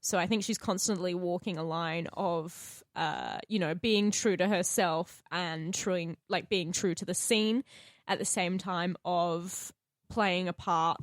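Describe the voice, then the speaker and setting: An Australian woman with a slightly low voice chats in a casual, conversational way as one of a podcast's regulars. The recording is dry and free of room echo. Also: steady tone, measured pace. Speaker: podcast co-host and player. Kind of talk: casual chat.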